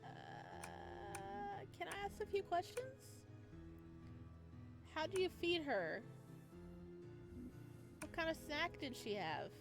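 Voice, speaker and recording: A woman reads through a visual novel and breaks off into shocked, animated reactions. Her pitch swings widely, and soft game music sits quietly in the background. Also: slow pace, vocal fry, long pauses. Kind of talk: reading narration and reacting.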